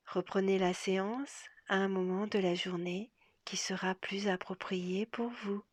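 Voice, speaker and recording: A woman leading a guided relaxation as a yoga nidra guide, speaking softly and gently in a breathy half-whisper with long, measured pauses. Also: medium pitch, fairly even intonation, no room sound.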